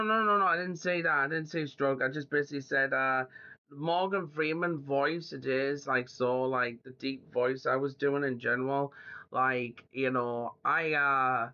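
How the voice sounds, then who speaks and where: Rambling young man, bright tense voice. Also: mid-range voice, smooth. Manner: rambling, full of fillers and "like". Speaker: podcast host. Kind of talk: casual chat. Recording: dull lo-fi audio.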